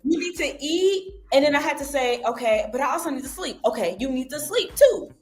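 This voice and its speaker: A woman's voice: sits high, animated, a little fast. A young woman guest on a casual podcast, talking animatedly and acting out her own self-talk. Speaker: guest journalist.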